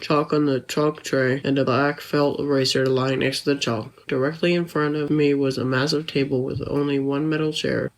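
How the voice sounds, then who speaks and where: A man's voice: mid-range voice, lightly acted. A man playing an earnest, easily irked boy who narrates his adventure as a scripted podcast story. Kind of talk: scripted first-person storytelling for a family podcast.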